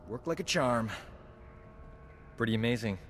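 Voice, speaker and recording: An actor playing a cocky, flirtatious rogue doctor in a video game, with a breezy, self-assured manner. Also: male voice, mid-range voice, expressive, measured pace, fairly bright tone, a little hiss or hum. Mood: breezy, flirty. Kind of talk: video game cutscene acting. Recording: game music behind the voice.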